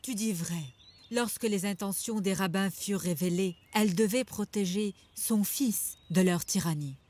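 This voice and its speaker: A woman playing a loyal, devout townswoman in an animated religious drama, earnest and firm. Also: medium pitch, moderate pace, close to an everyday voice.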